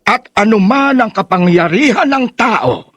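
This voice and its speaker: High-voiced, husky old grandfather. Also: animated, a little slow, fairly bright tone, no room sound, exaggerated voice. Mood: gentle, reassuring. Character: calm, pious old grandfather giving moral reassurance. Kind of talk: radio drama acting.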